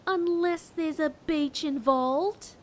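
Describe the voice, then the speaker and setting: A woman's voice: sits high, slow pace, a little hiss or hum. An actress playing a skeptical, blunt female undercover operative in an audio drama, with a dry, sardonic delivery. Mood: grumbling, sardonic.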